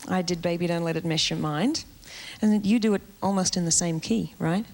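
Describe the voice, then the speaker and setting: A woman from Australia with a low alto voice, hosting a TV music show and chatting eagerly with a guest, full of fillers and restarts. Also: moderate pace. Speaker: host. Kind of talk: casual on-stage chat with a guest. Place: TV studio stage. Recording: 1970s TV broadcast with loud band music. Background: loud band music.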